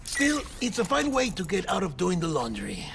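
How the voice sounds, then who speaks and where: An actor playing a jovial, chatty colleague with a playful, teasing way of talking in a video game cutscene. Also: male voice, sits high, moderate pace, gravelly, background noise, full character voice. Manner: playful, animated.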